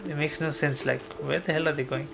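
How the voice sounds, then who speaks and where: A man's voice: on the high side, fairly even intonation, fairly quick, gravelly, fairly bright tone, soft background music, poor audio. A man giving casual gameplay commentary as a streamer, thinking aloud in a rambling, mildly sweary way. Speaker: game streamer.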